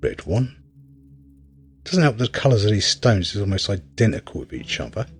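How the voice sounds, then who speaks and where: A man's voice: on the low side, very animated, moderate pace, faint music behind. A man giving casual gameplay commentary, rambling wryly as he thinks aloud while playing. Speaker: game reviewer and player.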